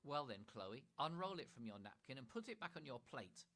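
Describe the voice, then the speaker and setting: A man's voice: medium pitch, sing-song, moderate pace, a bit bright, voice pushed up. A man voicing a snobbish, bossy middle-aged mother who speaks with prim, imperious hauteur. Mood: indignant.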